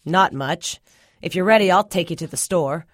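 A woman narrator puts on a hard-drinking rural father for an audiobook story. He is gruff and defensive, blunt and folksy in his dismissals, and the sound is dry with little room in it. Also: on the low side, moderate pace, dry recording, close to an everyday voice. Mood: defensive, dismissive. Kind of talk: audiobook character dialogue.

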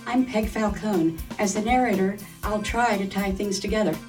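A woman's voice: mid-range voice, steady tone, normal speed, a little mellow, recorded from a distance, faint music behind. A woman reading scripted narration for a radio play.

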